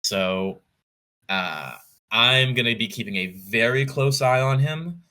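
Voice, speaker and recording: A man talking casually as an interview guest, in a measured, analytical way, thinking aloud as he goes. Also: mid-range voice, lively intonation, bright, forceful tone. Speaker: podcast guest, competitive card game player.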